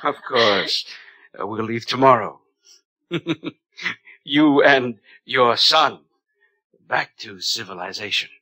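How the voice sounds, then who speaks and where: A man's voice: medium pitch, expressive, slow, pauses often, pushed and bright, exaggerated voice, low-quality recording. An actor playing a smug, scheming civilized young man in 1950s radio drama style. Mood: satisfied.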